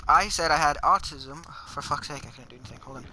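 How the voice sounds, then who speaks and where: A young man chatting casually while gaming, telling stories in a halting, rambling, sweary way. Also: a little high, noisy background. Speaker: player chatting in a multiplayer game. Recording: music behind the voice. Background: music.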